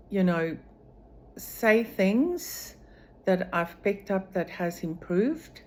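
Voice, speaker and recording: Low-voiced, unhurried woman. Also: darkish tone. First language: Afrikaans. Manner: slow, measured, with frequent pauses and fillers. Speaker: vlogger sharing her recovery. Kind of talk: personal video diary.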